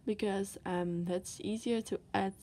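Dutch woman, art tutor, unhurried, soft-spoken, halting word-searching delivery. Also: mid-range voice, steady tone. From Netherlands. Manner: hesitant, searching for words, many fillers; soft-spoken, often drops to a whisper. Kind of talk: casual drawing tutorial while working. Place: desk, indoors.

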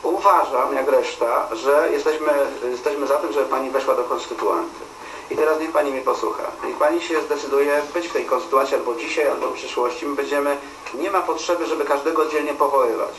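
A man's voice: mid-range voice, steady tone, fairly quick, fairly bright tone. A middle-aged man chairing a meeting, insistent and lecturing, often restarting his words, in a stone-walled hall. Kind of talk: persuasive meeting speech. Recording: roomy and distant, faint hiss.

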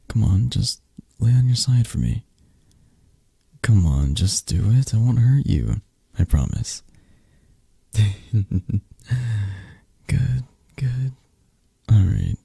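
A man playing a possessive, flirtatious mafia boss who comforts a lover in intimate ASMR roleplay, murmuring softly and haltingly in a breathy, gravelly voice. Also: on the low side, no room sound, studio quality, heavily acted. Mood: coaxing, gentle. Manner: slow, soft, halting, soothing murmur.

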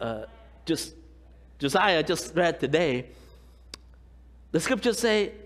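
A man in his thirties, a pastor from Cambodia with a Khmer accent, preaching a lively sermon and often asking the congregation "right?". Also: very high-pitched. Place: large church sanctuary, indoors. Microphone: far camera, room pickup. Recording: long church hall reverb.

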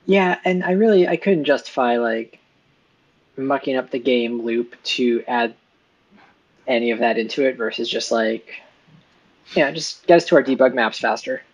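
A man's voice: mid-range voice, moderate pace, pauses often, husky, highs cut off. Laid-back man explaining on a call. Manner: relaxed, explaining, many fillers. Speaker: co-host of a game dev stream. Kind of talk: casual technical chat.

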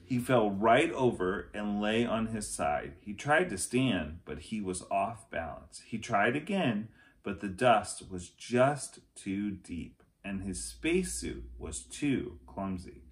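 A man in his forties narrates a children's chapter book. He takes his time, with a slightly low voice that swings expressively through the sentences. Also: big pitch swings, takes it slowly. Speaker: read-aloud storyteller. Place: small furnished room at home, indoors.

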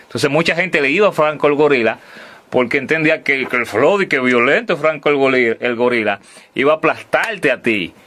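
A man's voice: mid-range voice, lively intonation, a little fast, fairly bright tone. A man hosting an interview, welcoming a guest and asking questions with short acknowledgments. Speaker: interview host.

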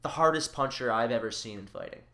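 A man co-hosting a casual podcast, excitable and prone to repeating phrases for emphasis. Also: medium pitch, fast. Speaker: podcast co-host.

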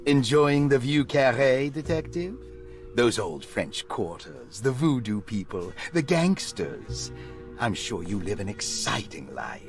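An actor playing a suspicious doctor in a game, who questions a detective in a wary, mildly mocking way. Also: male voice, mid-range voice, big pitch swings, a little slow. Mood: probing. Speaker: game character. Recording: game audio with music score.

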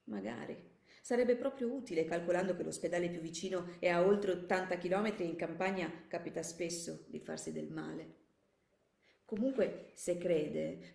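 A woman's voice: medium pitch, creaky, darkish tone, lightly acted. A woman voicing a wily, hospitable countryman in a story, casual and ingratiating. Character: wily, hospitable countryman deflecting soldiers' searches. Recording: echoey room.

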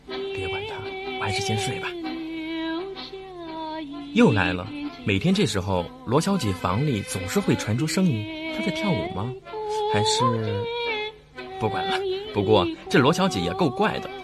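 A man's voice: fairly high pitch, wildly swooping pitch, moderate pace, long pauses. An actor playing a timid, polite, nosy young male tenant in a horror radio drama, hesitant and muttering. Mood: curious, uneasy. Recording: loud music behind the voice.

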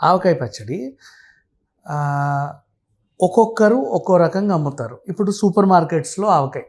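A man's voice: sits high, animated, normal speed, a little mellow. A man with a Telugu accent talking casually and explaining things through everyday examples and lists, mixing in English words.

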